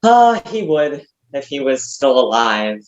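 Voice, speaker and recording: Woman as slow-talking, devoted rambler Mercutio. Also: extremely low pitch, very animated, a little mellow, muffled recording, heavily acted. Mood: mournful admiration. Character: rambling, adoring male biographer Mercutio, devoted friend. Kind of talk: virtual staged reading of a stage comedy.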